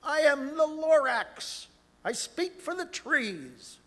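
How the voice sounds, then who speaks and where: Man playing storybook creature, old, small, bossy, indignant, high-pitched, shouty scolding, reverberant hall. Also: big pitch swings, slow pace, heavily acted.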